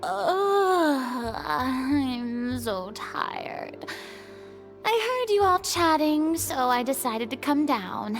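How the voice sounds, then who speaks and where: Casting: demanding young diva in a video game, groggy and dragging, high voice with big theatrical swings. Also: female voice, sing-song, extremely slow, soft background music, full character voice. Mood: exhausted. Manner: drawling, groggy, dramatic.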